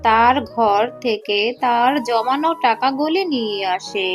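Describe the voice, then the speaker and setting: A woman's voice: on the high side, unhurried. A woman reading scripted narration for a cartoon story.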